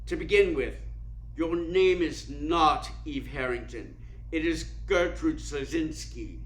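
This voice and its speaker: An actor playing a suave, cynical and domineering theater critic in a radio play, speaking in a cold, precise, imperious way. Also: male voice, fairly high pitch, slow, fairly bright tone. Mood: menacing, exposing. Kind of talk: dramatic radio play acting. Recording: roomy echo with clear background noise.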